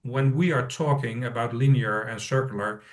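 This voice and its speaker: A man's voice: mid-range voice, moderate pace. A man telling an anecdote in casual conversation as a podcast panelist, with phrasing. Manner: non-native English phrasing. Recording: distant microphone pickup.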